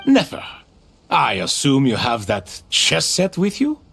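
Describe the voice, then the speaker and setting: A man's voice: medium pitch, huge pitch swings, measured pace, gravelly, fairly bright tone, faint music behind, exaggerated voice. A man voicing a grandiose, playful ancient spirit in a video game, with theatrical, declamatory delivery.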